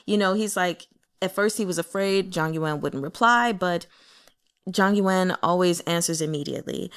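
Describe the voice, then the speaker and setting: A woman chatting casually and candidly about a novel as a book reviewer, in a conversational, mildly exasperated way. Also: on the low side. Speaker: book reader and reviewer with an audience. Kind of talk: casual chat commentary on a novel.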